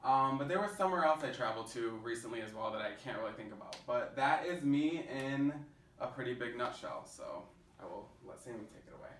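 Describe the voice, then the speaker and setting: Man, medium pitch, conversational student presentation, roomy echo, faint noise. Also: expressive, a little fast, a bit bright. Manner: casual, conversational. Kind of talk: informal class presentation. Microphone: distant microphone. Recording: distant, echoey room, faint noise.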